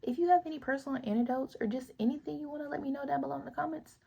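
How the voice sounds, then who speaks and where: A young woman with a raspy voice, speaking earnestly and emphatically in a casual, slangy opinion vlog. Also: sits high, fairly even intonation, racing, highs cut off, crowd noise. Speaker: vlogger.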